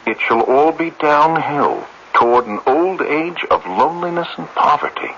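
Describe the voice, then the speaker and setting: On a dull old radio drama recording with faint hiss, a wealthy, coldly rational businessman. His speech is pedantic and formal with hesitant stammers, and his pitch moves in animated swings. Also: normal speed. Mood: coldly blunt. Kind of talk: 1970s radio drama acting.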